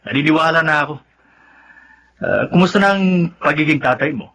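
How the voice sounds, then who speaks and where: A man's voice: on the high side, animated, brisk, sharp tone, exaggerated voice. A man playing a teasing, worldly friend, bantering emphatically in a Tagalog radio drama. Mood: teasing, knowing. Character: teasing, worldly male friend of a new father.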